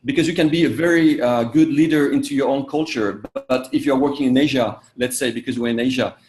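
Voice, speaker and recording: An English-speaking man, born in Vietnam and raised in France, works as a coach and answers interview questions. His delivery is halting and full of "uh" fillers and self-corrections, at a middle pitch. The sound is echoey, as if recorded in a reflective room. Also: darkish tone. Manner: halting, many "uh" fillers and restarts. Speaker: executive coach.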